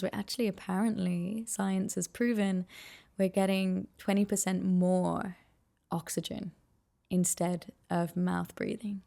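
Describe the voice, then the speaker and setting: A woman leading a guided meditation with soft, soothing, unhurried and gently encouraging instruction. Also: fairly low pitch, creaky.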